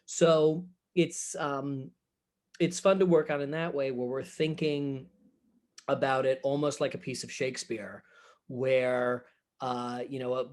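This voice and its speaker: A man with a medium-pitched voice talks informally in an interview, like a director discussing his work. He is thoughtful and halting, often pausing to find the right word. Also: darkish tone. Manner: halting, thoughtful, searching for words. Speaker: theater director. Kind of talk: casual interview chat about a production.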